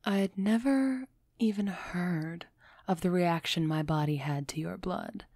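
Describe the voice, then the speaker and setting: Woman acting a slow, low-voiced aristocratic vampire lady. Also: a little mellow, dry recording, studio quality. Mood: aloof explaining. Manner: haughty, measured, musing. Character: aloof aristocratic female vampire, softening toward a human. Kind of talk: scripted audio roleplay acting, close and soft.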